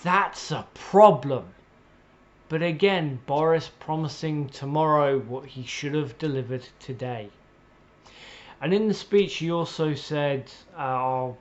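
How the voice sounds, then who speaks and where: Slow, blunt, exasperated man ranting. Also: medium pitch, highs cut off. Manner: emphatic, with deliberate pauses and repetition. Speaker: political commentator. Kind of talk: opinionated solo political rant.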